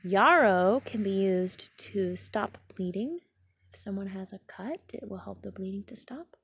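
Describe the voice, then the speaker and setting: A woman with a mid-pitched voice reads a children's picture book aloud outdoors. She talks like a teacher, pausing to explain things in simple, chatty words for young listeners. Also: lively intonation, slight background noise. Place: outdoors in a backyard garden.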